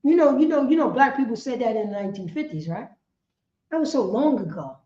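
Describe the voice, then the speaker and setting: A woman's voice: fairly high pitch, expressive, brisk, mellow. A woman teaching a religious class in an impassioned, emphatic way, repeating phrases and asking rhetorical questions. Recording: echoey room, dull lo-fi sound.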